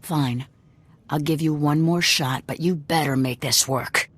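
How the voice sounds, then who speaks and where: Low-voiced, hard-bitten rebel commander. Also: female voice, unhurried, husky. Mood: impatient, threatening. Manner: blunt, curt, threatening. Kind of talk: video game voice acting.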